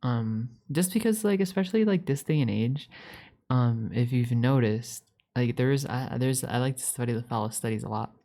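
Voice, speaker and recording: A man's voice: mid-range voice, moderate pace, vocal fry, dark tone, natural voice. A man playing an eager, affectionate young puppy-boy roommate in a casual ASMR roleplay, rambling earnestly with stammers and repeated words.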